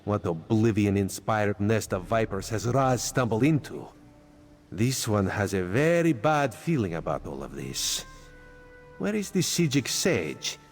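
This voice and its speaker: A man's voice: on the low side, sing-song, a little slow, creaky, soft background music, exaggerated voice. An actor playing a sly male cat-folk spymaster who talks about himself in the third person, in a video game. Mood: wry.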